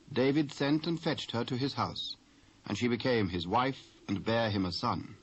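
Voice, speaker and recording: A British man with a husky voice giving scripted narration of scripture on an old cassette recording. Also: on the low side. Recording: dull old cassette tape.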